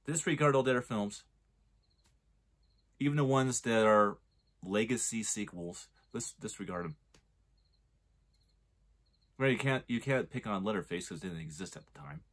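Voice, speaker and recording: Low-voiced man in his forties reviewing films. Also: normal speed, long pauses. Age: 40s. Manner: rambling, restarts sentences, argues a point. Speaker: movie reviewer. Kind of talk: casual spoken film review.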